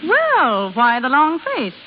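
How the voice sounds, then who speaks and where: A woman's voice: high voice, wildly swooping pitch, slow pace, full character voice. An actress playing a knowing, bossy young married woman in an old radio drama, breezy, worldly and persuasive. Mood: amused, brisk. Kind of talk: 1930s-40s radio drama acting. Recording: muffled, hissy old radio recording.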